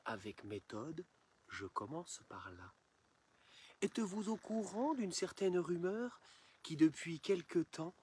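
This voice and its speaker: A man reciting satirical rhymed verse in a theatrical, measured, declamatory storytelling manner. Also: a little high, a little mellow, slightly rough. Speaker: poem reciter.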